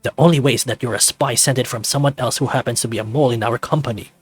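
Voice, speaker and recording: A brooding, muscular furry lab worker from a visual novel, read by a man, hostile and curt. He speaks with menace in a mid-pitched voice, close and dry over faint music. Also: quick pace, husky, no room sound, lightly acted. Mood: accusing.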